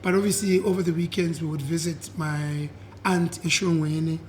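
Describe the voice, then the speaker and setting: Brief: husky-voiced South African man in his twenties or thirties, slightly high-pitched, relaxed guest talk with a faint hiss behind. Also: moderate pace. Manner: relaxed, switches between English and isiZulu, repeats "yeah". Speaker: guest, singer. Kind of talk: casual interview chat.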